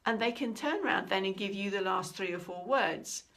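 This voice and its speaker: Middle-aged female trainer, animated and expressive, average pitch, teaches while acting out quoted lines. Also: normal speed, vocal fry. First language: English. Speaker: communication trainer. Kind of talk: instructional talk.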